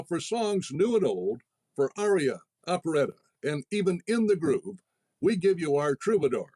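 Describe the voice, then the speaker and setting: A man playing a grandiose 1930s radio variety announcer with a husky, declamatory delivery. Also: on the high side, darkish tone, no room sound, full character voice.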